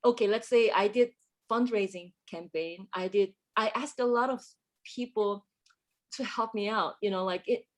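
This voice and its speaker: Woman composer, mid-pitched voice, reflective and searching for words, guest in a casual interview. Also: normal speed. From Korea. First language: Korean. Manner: reflective, searching for words, many fillers and restarts.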